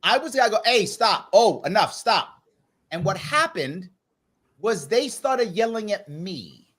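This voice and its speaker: Animated New man hosting a panel. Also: high voice, measured pace, slightly rough. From New York City, the Bronx. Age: middle-aged. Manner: animated, interjecting, questioning, repeats phrases for emphasis. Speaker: panel show host. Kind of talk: live panel discussion.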